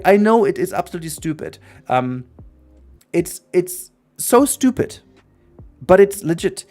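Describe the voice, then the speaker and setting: A young man with a slightly high voice speaks English as a second language while chatting on a livestream. He is earnest and rambling, restarts sentences often, and keeps circling back to what he means. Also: darkish tone. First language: not English. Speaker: streamer. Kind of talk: casual livestream chat.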